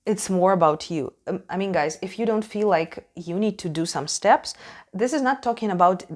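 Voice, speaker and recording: A woman from Ukraine, a native Russian speaker with a Russian accent, casually hosting a tarot reading in a halting, emphatic way, searching for words. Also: mid-range voice, a little mellow. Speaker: tarot reader hosting a channel.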